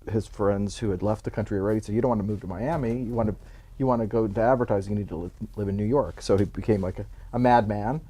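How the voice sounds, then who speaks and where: A man born in Cuba, a publicist, relaxed and rambling as he tells his life story in an interview. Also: on the low side. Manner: relaxed, rambling, many restarts and fillers. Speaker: Hollywood publicist, guest.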